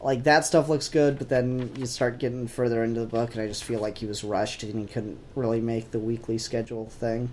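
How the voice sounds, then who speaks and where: A man's voice: medium pitch, flat delivery, brisk, few pauses, darkish tone, a little hiss or hum. A man co-hosting a podcast, talking casually about comic books in an opinionated, blunt way. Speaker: podcast co-host. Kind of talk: casual comic book discussion.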